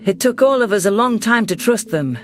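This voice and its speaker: A man narrating a science fiction story in the first person with steady storytelling delivery. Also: high-pitched, brisk, soft background music.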